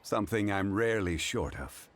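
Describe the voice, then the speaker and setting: A man voicing a calm, dignified gentleman scholar in a video game, speaking in a measured, formal, wryly amused way. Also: fairly low pitch, no echo, natural voice. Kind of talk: English video game dub.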